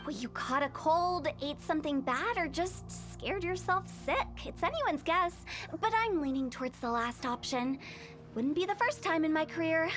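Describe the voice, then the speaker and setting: A quirky young woman from a video game, very high in pitch. She is mischievous and breezy, with a playful, teasing way of talking over soft background music. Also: animated, moderate pace. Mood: breezy, frank. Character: quirky, mischievous young female funeral parlor director.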